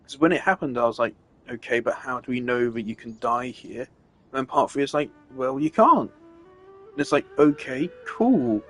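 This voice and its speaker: A man's voice: medium pitch, animated, normal speed, fairly bright tone, soft background music. A man giving a casual, opinionated rant on a live stream, emphatic and exasperated in the way he talks.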